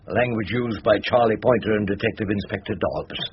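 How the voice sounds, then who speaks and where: An actor playing a wily older English defence barrister in a radio drama, sardonic and theatrical. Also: male voice, fairly low pitch, steady tone, fairly quick, lightly acted. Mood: probing. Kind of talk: BBC radio drama acting and narration. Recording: dull lo-fi radio recording, faint hiss.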